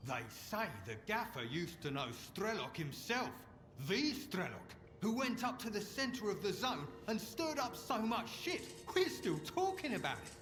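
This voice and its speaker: A man's voice: sits high, sing-song, measured pace, fairly bright tone, big-room sound, soft background music, full character voice. An actor playing a chatty, boastful wasteland trader in a video game, with a gossipy salesman's patter.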